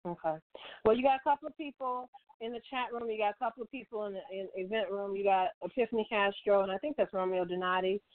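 A woman's voice: mid-range voice, fast, dry recording. Woman hosting over a tinny phone line. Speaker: host of online radio show. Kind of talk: informal interview hosting.

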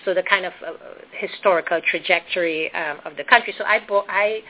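Low-voiced Trinidadian woman, phone-thin audio. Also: brisk, narrow, tinny audio. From Trinidad and Tobago. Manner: thoughtful, hesitant, many ums and restarts. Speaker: guest. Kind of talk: interview conversation.

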